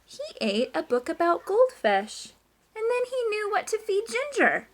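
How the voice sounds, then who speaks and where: A woman teacher doing an animated read-aloud of a children's story, with chatty asides and questions to young listeners. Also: extremely high pitch, unhurried. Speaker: teacher reading aloud to class.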